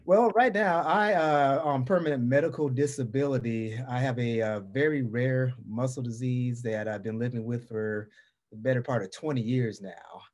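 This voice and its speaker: A man's voice: medium pitch, moderate pace, a little mellow. A middle-aged man from the US West Coast with a Southern drawl, telling his personal story as an interview guest. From Portland, Oregon, United States. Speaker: podcast guest.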